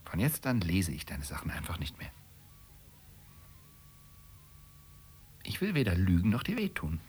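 A man's voice: on the low side, vocal fry. An actor playing an irritable, sarcastic husband in a radio play, blunt and needling in his delivery. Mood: cutting, reproachful. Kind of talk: German radio play acting.

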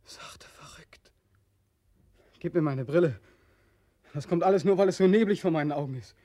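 A man's voice: fairly high pitch, moderate pace, mellow, close to an everyday voice. A man acting a haunted, despairing returned soldier in a 1940s German radio play, brooding and intense, swinging between whispers and outcries. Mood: dazed, oppressed.

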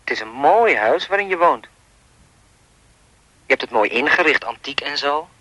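A man's voice: on the high side, lively intonation, normal speed, sharp tone, no room sound, rough recording. An actor playing a creepy, insistent anonymous caller in a radio play, needling and insinuating as he speaks. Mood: insistent, sinister.

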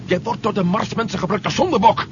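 An actor playing a tough, blunt commando hero in a 1970s radio drama, talking colloquially. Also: male voice, high voice, fast talker, lightly acted. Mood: insistent. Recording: dull, noisy old radio recording.

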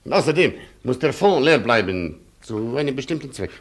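An aristocratic colonel in a radio play, pompous and unbending. His mid-pitched voice is rough and husky, his phrases clipped and condescending. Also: big pitch swings, moderate pace. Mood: imperious. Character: haughty, stubborn Polish cavalry colonel, aristocratic man. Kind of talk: German radio play acting.

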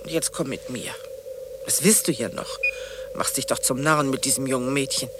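An actress playing a bitter, sarcastic middle-aged ex-wife in a German radio play, theatrical and cutting. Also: female voice, very deep voice, normal speed, heavy background noise. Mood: weary, pleading. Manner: sarcastic, mocking, dramatic.

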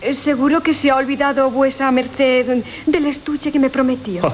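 A high, coquettish young Castilian woman played by an actress in classic radio theatre. She is grasping under a coy, falsely modest manner. Faint noise sits behind her on the old broadcast. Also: steady tone, normal speed, close to an everyday voice, poor audio. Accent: Castilian Spanish. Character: coquettish greedy young woman, feigning modesty while begging gifts. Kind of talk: 1980 Spanish radio theatre acting of classic farce.

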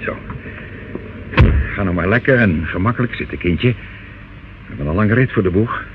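A man's voice: low voice, lively intonation, moderate pace. A man playing a patronizing older gang boss in a radio play, coaxing and bossy. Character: smooth-talking, patronizing older male gang boss, falsely soothing. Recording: muffled, noisy old radio recording.

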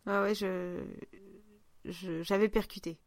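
A woman's voice: mid-range voice, steady tone, slow, no room sound, studio quality. A woman co-hosting a podcast in casual chat, informal and often searching for her words. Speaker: podcast co-host.

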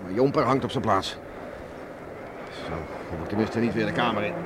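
An actor playing a gruff, stubborn middle-aged island beachcomber and father in a Dutch radio play, muttering to himself and calling out. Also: lowish voice, normal speed, close to an everyday voice. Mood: brooding, muttering. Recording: noisy old radio play with storm ambience.

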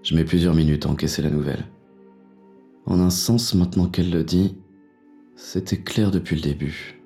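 An actor playing a hard-boiled, wary police inspector who narrates a noir audio drama in a gritty, weary manner. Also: male voice, low-pitched, steady tone, moderate pace, creaky, mellow, faint music behind. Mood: resigned.

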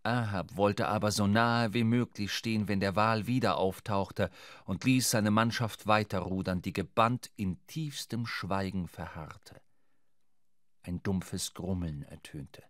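A man's voice: fairly low pitch, fairly bright tone, no room sound. Male radio-play narrator, dry close sound. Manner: measured, dramatic storytelling.

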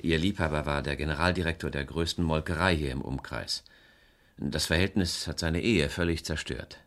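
A man's voice: very deep voice, moderate pace, fairly bright tone. An actor playing a harried, married French businessman in a German radio crime play, earnest and defensive as he explains himself. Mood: matter-of-fact.

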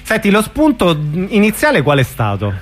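A man's voice: high-pitched, huge pitch swings, normal speed. A man interviewing a guest on the radio in an informal, familiar way.

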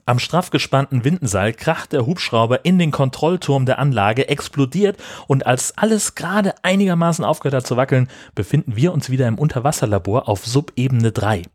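A man's voice: medium pitch, animated, brisk, hardly any pauses, dry recording, studio quality. A man hosting a podcast, narrating a scripted summary of a film. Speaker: podcast host.

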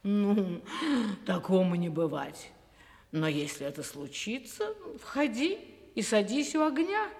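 A woman's voice: medium pitch, very animated, takes it slowly, a little mellow. A woman playing a wary, firm, mocking cave housewife in an audio fairy-tale play. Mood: firm, skeptical. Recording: long reverb.

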